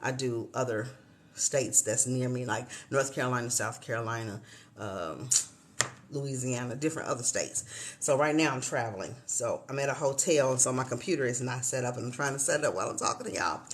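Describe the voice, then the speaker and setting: A woman's voice: extremely low pitch, fairly quick. A woman in her fifties from Chicago, hosting a casual livestream chat from a hotel room and addressing viewers as y'all. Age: 50s. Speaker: livestream host.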